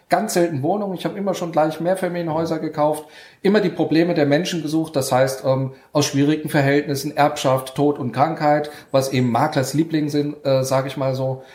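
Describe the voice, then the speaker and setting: A man's voice: on the high side, a little mellow. A man telling his story as a podcast guest, fluent, with many fillers. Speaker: guest, real estate investor. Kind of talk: podcast interview. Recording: roomy echo.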